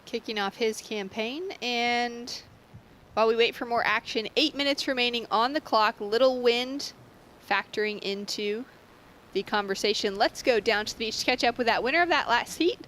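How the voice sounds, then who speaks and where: A woman's voice: fairly high pitch, normal speed, fairly bright tone. Lady calling surf heats, upbeat and informative, bit of noise behind. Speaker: surf contest commentator. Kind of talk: live sports commentary.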